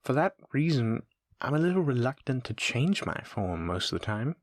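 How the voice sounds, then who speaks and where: A man playing a sadistic, playful shape-shifting monster who muses and taunts with unhurried menace in a horror audio roleplay. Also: medium pitch, very animated, dry recording, natural voice. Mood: curious, pleased.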